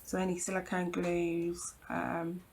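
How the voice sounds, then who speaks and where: A woman demonstrating a craft project at her home craft table, croaky from hay fever, talking in a chatty, reassuring way with frequent ums and apologies. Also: lowish voice, monotone, measured pace, a little mellow, slight background noise. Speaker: craft tutorial host. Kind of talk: casual hands-on craft demonstration.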